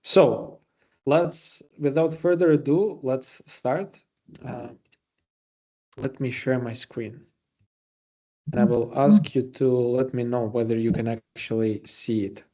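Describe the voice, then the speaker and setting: Mellow man with a Latvian accent, hosting. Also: mid-range voice, takes it slowly, pauses often. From Riga, Latvia. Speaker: webinar host and presenter. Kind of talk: semi-scripted webinar presentation.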